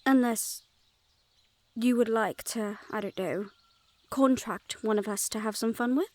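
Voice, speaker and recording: Casting: woman to play haughty female Fae sentry for fantasy audio roleplay, higher-pitched voice, playful, sardonic, guarded. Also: unhurried, darkish tone, close to an everyday voice. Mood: playful, teasing. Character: teasing, wary Fae woman guarding her forest clan's border.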